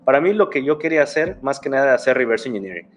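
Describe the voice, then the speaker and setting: A young man with a Mexican Spanish accent talks loosely as an interview guest, often breaking off and starting his phrases again. His voice sits at an average pitch, and faint music plays behind him. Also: lively intonation, racing. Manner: rambling, many restarts and fillers. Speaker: guest, security researcher. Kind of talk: casual interview talk.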